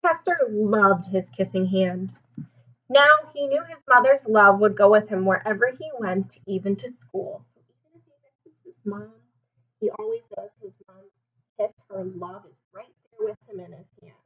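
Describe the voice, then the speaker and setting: A woman's voice: mid-range voice, moderate pace, highs cut off. A woman teacher reading a storybook aloud to young children, expressively, with simple explanations and questions.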